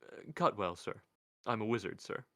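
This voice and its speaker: A man's voice: fairly low pitch, animated, normal speed, vocal fry, fairly bright tone. A man voicing Cutwell, a hesitant, deferential wizard. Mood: timid. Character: Cutwell, polite timid male wizard.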